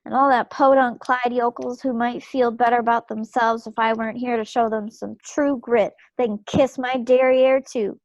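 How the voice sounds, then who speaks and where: A woman's voice: fairly high pitch, fairly even intonation, moderate pace, hardly any pauses, dry recording, lightly acted. A woman playing a stubborn, spirited young 1940s war wife with folksy directness, in a play reading over a video call. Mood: defiant. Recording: muffled video-call audio.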